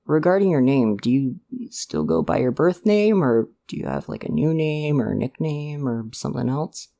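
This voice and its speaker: A man playing a supportive, gently protective dad in an ASMR roleplay, speaking in a hesitant, earnest, reassuring way to the listener. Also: a little high, animated, moderate pace, creaky. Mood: careful, curious. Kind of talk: ASMR roleplay, one-sided conversation with listener.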